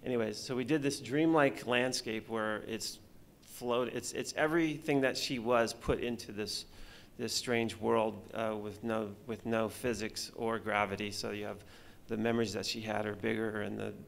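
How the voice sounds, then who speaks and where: Middle-aged man, film director on a panel; gravelly, halting, restarts often, speaks into a table mic. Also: lowish voice, fairly even intonation. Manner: halting, many fillers and restarts. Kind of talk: conference panel talk. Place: conference stage, seated at panel table. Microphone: table mic on stand.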